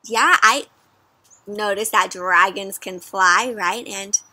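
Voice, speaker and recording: A woman in her twenties doing an interactive storybook read-aloud for young children, animated and sing-song, often asking questions. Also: medium pitch, slow pace. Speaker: children's storybook reader and host. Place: outdoors in a leafy yard.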